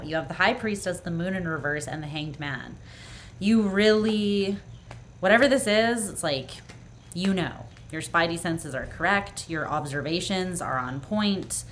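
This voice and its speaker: A woman doing a tarot reading for the viewer, earnest and reassuring, often clearing her throat as her voice grows hoarse. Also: mid-range voice, a bit bright, a little hiss or hum. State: voice going hoarse. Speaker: tarot reader. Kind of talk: pick-a-card tarot reading, speaking to the viewer.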